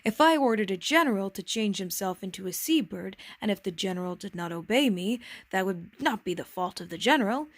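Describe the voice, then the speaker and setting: A woman's voice: mid-range voice, expressive, fairly quick, few pauses, no room sound. A woman voicing a proud, pompous king in a storybook, with a stately, emphatic, declamatory delivery. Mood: self-important. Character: proud, pompous, commanding but reasonable old-fashioned king.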